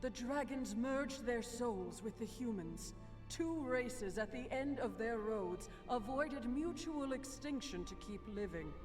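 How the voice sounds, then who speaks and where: An actress playing a grave ancient narrator of dragon legends in an epic fantasy game. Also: female voice, medium pitch, lightly acted. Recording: distant, long reverb, loud game music.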